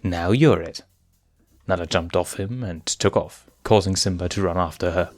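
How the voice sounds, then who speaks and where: Low-voiced man narrating a story. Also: steady tone, normal speed, no room sound. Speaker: fan fiction reader. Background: faint music.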